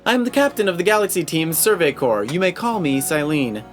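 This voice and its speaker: A woman voicing a stern, aloof captain in a video game, formal, measured and cool, with music behind. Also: on the low side, lively intonation, natural voice. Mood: stern, appraising. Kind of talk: voiced video game dialogue.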